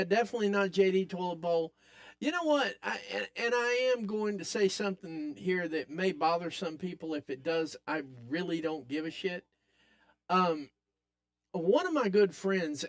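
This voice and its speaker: A man with a raspy voice hosting a live wrestling watch-along podcast, excitedly calling the action and chatting with viewers. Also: high voice. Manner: excitable, hypes up the action, talks to chat. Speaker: podcast host.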